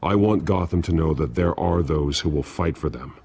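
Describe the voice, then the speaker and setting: An actor playing a grim, resolute masked vigilante hero in a video game, speaking tersely and solemnly. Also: male voice, very deep voice, normal speed, creaky, darkish tone. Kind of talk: video game dramatic acting.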